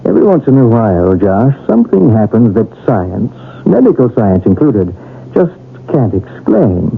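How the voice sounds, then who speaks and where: A man playing a calm, thoughtful, probing doctor in a 1970s radio drama. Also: fairly low pitch, normal speed, creaky, breathy edge, exaggerated voice. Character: Carlos, calm inquisitive male doctor open to the occult. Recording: tinny, noisy 1970s radio recording.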